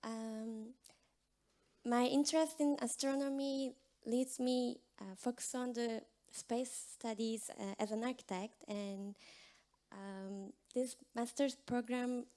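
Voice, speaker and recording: A woman introducing herself as an incoming student, careful and halting. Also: on the high side, steady tone, warm tone. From Turkey. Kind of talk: short self-introduction at a ceremony.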